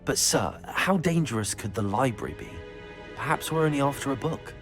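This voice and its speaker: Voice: mid-range voice, animated, brisk, faint music behind, lightly acted. An actor playing a polite, self-assured teenage student wizard in a video game. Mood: polite, composed. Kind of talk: scripted video game acting.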